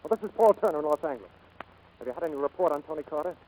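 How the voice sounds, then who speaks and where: An actor playing an anxious, devoted flying teacher and boss in a 1940s radio drama. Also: male voice, on the high side, lively intonation, racing, warm tone, close to an everyday voice. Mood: anxious, guilty. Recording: faintly noisy 1940s radio recording.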